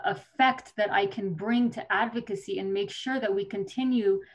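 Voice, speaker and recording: A woman's voice: medium pitch, a little mellow. Earnest articulate woman, podcast guest. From Colorado, USA. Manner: earnest, articulate, with frequent fillers and restarts. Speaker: state legislator, guest. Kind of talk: podcast interview answers.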